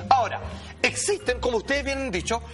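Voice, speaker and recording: Chileno de voz muy aguda, relato teatral. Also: male voice, very high-pitched, a little fast, over music. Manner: theatrical, slangy, calling for attention. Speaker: talk show presenter. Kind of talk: animated storytelling and commentary on cartoons.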